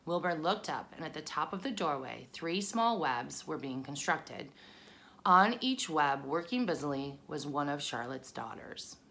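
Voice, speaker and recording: A woman's voice: deep voice, animated, a little slow, vocal fry, fairly bright tone. A woman narrating a children's book as she reads it aloud. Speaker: storybook reader.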